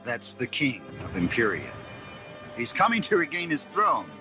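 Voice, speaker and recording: A man plays a brash space hero, his mid-pitched voice swooping up and down. He is urgent and wisecracking, acting in an adventure audio drama over music. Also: wildly swooping pitch, moderate pace. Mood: brash, mocking. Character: brash heroic space adventurer rescuing the Emperor.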